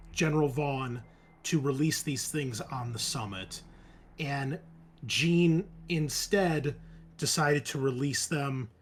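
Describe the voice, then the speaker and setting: Male game master, mid-range voice, talking players through a tabletop game. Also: medium pitch. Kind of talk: narrating and explaining a tabletop game.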